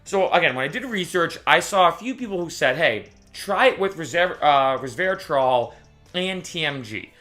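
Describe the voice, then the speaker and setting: Bright-voiced young man vlogging to camera. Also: on the high side, normal speed, faint music behind. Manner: chatty, restarts and self-corrections, animated retelling. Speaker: YouTuber. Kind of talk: casual talking-to-camera vlog.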